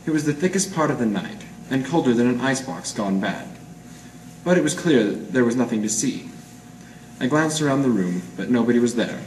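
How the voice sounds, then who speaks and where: An amateur actor playing a hard-boiled 1940s private eye in a radio noir, clipped, deadpan and slangy. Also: male voice, medium pitch, brisk, lightly acted. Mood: grim narrating. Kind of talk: 1940s radio noir acting with first-person narration. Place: live presentation in a roomy gallery. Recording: echoey room, distant mic, faint noise.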